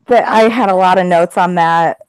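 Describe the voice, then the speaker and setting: A woman's voice: lowish voice, normal speed, clear, resonant tone, dry recording. A woman chatting casually in an online book club, putting questions to the group in an informal way.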